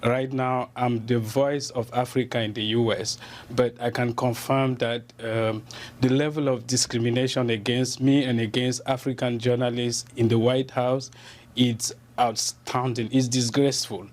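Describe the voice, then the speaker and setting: A man's voice: mid-range voice, little pitch movement, moderate pace, a little mellow. A man from Cameroon with a Cameroonian French accent, a journalist answering questions in a TV interview in an earnest, indignant way. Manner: earnest, indignant, with fillers and repeated starts. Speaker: journalist guest.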